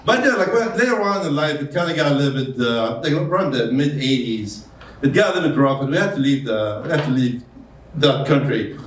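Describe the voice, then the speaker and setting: A male comedian with a foreign accent telling deadpan stories on stage, hesitating often with fillers. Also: mid-range voice, fairly quick, a little hiss or hum. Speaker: stand-up comedian. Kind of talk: stand-up comedy set. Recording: roomy club sound from a distance. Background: audience laughter.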